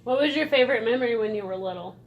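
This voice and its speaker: A woman's voice: a little high, racing, lo-fi sound, a little hiss or hum. A woman in her twenties with an American accent, chatting casually and teasingly, asking simple questions and repeating answers back. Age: 20s. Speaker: vlogger interviewing grandfather.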